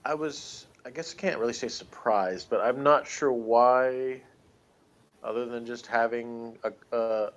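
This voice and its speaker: A man co-hosting a review show in an informal chat, pausing in the middle of his thoughts. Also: medium pitch, lo-fi sound. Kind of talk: casual chat.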